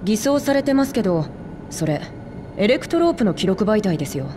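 A woman's voice: mid-range voice, big pitch swings, a little fast, noisy background, close to an everyday voice. A woman voicing a young elf technician in a video game, polite, curious and blithely frank. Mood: curious, breezy. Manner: polite, breezy, inquisitive.

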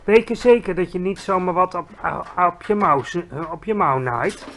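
Kindly, timid male giant for a children's storybook, voiced by a man; earnest, proud, stumbling speech, highish pitch. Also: animated, normal speed, fairly bright tone, a little hiss or hum. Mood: beaming, delighted. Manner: earnest, mangled grammar, stumbles over words.

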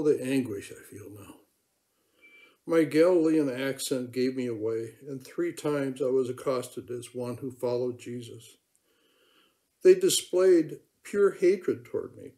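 A man's voice: medium pitch, mellow, close to an everyday voice. A man performing a remorseful male apostle in a confessional first-person monologue, earnest and emotionally charged. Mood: anguished. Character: remorseful male apostle recalling his denial.

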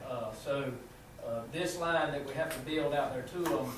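A man with a Southern American accent presenting a proposal to a town council, halting and full of fillers, recorded from across a small meeting room. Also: medium pitch. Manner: halting, many fillers and restarts. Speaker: city utility official presenting to council. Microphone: far room camera mic. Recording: echoey room from far off, background noise.

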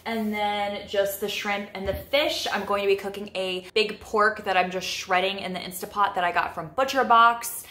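A woman's voice: mid-range voice, fairly even intonation, moderate pace, few pauses, bright, forceful tone. A young woman in her twenties chatting casually to camera in her home kitchen, with lots of fillers like "like" and "you know.". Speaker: vlogger. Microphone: camera in front of her at close range.

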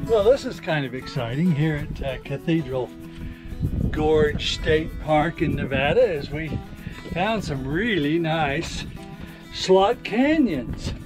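A man's voice: on the high side, lively intonation, takes it slowly, music in the background, low-quality recording. An older man vlogging casually while filming himself at arm's length, talking in a folksy, rambling way full of fillers. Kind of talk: casual vlog narration. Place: in a car, then outdoors in a canyon. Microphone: handheld camera at arm's length.

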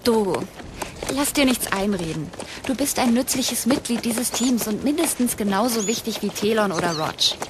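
A woman's voice: fairly high pitch, expressive, normal speed, background noise, natural voice. A woman playing a blunt, feisty but loyal young dragon rider in a fantasy audio drama. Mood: reassuring, loyal. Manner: blunt, slangy, sarcastic.

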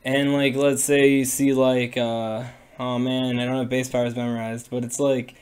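A young man with a cold-raspy, hoarse voice, talking casually and rambling with many fillers on a livestream from a small room into a webcam. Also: mid-range voice, level tone, normal speed, a little mellow. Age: young adult. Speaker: streamer. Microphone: webcam at desk.

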